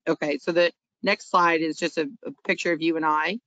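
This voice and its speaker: Casual woman host, brisk intros, dry lo-fi call audio. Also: low voice, steady tone, a bit bright, no echo. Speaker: webinar host. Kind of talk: webinar introduction. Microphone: video call. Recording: dry, slightly dull video-call audio.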